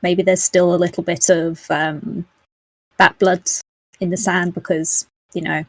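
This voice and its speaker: Husky woman, close and dry. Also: fairly low pitch, flat delivery, measured pace, no room sound, rough recording. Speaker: podcast player. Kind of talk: casual conversational recap.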